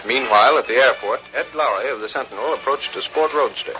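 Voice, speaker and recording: A man's voice: medium pitch, fast talker, over music. A man with an American accent narrating a vintage radio drama as a formal, declamatory announcer, on a dull, noisy old recording.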